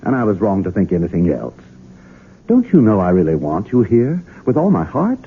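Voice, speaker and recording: An actor playing a smooth-talking but secretly sinister Southern husband in a 1970s radio drama. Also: male voice, lowish voice, huge pitch swings, normal speed, full character voice. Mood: coaxing, sweet. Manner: sugary, coaxing endearments turning to curt command. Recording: narrow, hissy 1970s radio broadcast recording.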